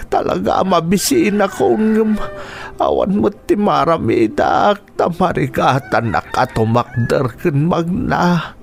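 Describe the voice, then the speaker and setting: A man's voice: a little high, animated, slow pace, creaky, full character voice. A man playing a weak, ailing uncle in an Ilocano radio drama, plaintive and self-pitying. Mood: lonely, self-pitying.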